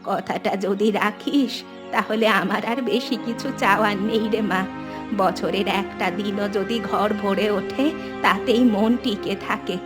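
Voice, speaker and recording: Woman voicing a slow, tender elderly mother. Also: medium pitch, steady tone, over music, close to an everyday voice. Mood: wistful, loving. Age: older. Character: elderly loving village mother and grandmother, tender, sentimental. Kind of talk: animated family drama dialogue.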